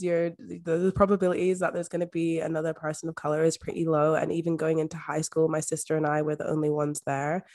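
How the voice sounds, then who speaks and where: A young woman from Scotland with a soft Scottish accent, a podcast guest speaking openly and reflectively in a casual interview. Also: fairly low pitch. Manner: open, reflective, uses "like" often. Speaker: podcast guest, mindset coach.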